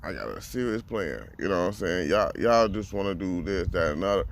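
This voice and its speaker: 30s guy kinda low voice, just talking straight, cussing, repeating himself a lot. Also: lively intonation, fairly quick, a little hiss or hum. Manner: blunt, profane, repeats words while thinking. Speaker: vlogger. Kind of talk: casual personal monologue.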